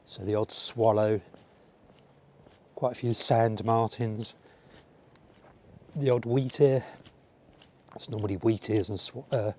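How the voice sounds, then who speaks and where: Mellow, slightly croaky man vlogging outdoors. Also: on the low side, fairly even intonation, slow, pauses often, a little hiss or hum. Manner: rambling, musing aloud to the viewer. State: slightly croaky from a fading cold. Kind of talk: casual outdoor walking vlog chat. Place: outdoors, walking by a village road and seafront. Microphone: small wireless clip-on mic.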